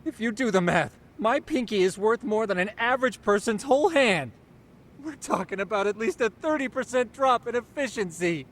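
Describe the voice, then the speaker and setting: A man's voice: expressive, moderate pace, a bit bright. An actor playing a brainy, fussy male investigator in a game dub, articulate and matter-of-fact. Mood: fussy, complaining. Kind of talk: dubbed video game cutscene acting. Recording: rumbling noise behind the voice.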